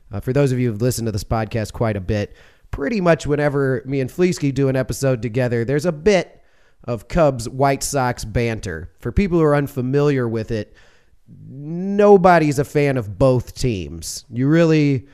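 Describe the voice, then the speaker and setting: Smooth-voiced joking podcast host man. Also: medium pitch, darkish tone. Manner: rambling and jokey, with self-corrections. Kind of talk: casual sports podcast monologue.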